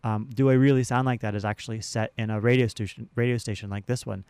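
An American man with a smooth, clear voice at a medium pitch gives conversational interview answers. He speaks in a measured way, often restating a phrase. The recording is dry and close, like a radio studio. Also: fairly even intonation, darkish tone, no echo. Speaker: theater director and producer, radio guest. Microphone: close studio radio microphone.

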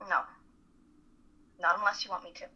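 A woman's voice: lowish voice, fairly even intonation, moderate pace, pushed and bright, husky, dull audio, exaggerated voice. A woman acting a bossy but caring female college student in a dramatic reading, with a blunt manner. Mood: gentle. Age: young adult. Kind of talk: radio-play style dramatic reading.

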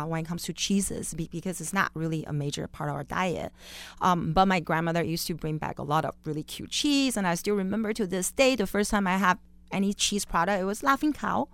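A woman from China with a Chinese accent, telling personal stories as a chatty, animated interview guest. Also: fairly low pitch, smooth, dry recording. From Beijing, China. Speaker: guest, chef.

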